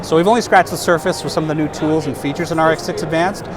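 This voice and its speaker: A man in his thirties, a product specialist, giving a relaxed, explanatory trade-show demo on a lapel mic. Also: a little high, quick pace. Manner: relaxed, explanatory, casual fillers. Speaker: product specialist demonstrating software. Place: trade-show booth, indoors. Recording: noisy trade-show booth.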